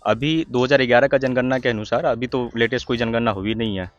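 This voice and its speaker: A man from Jharkhand explaining facts in a steady interview answer. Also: medium pitch, a little fast, lo-fi sound, soft background music. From Jharkhand, India.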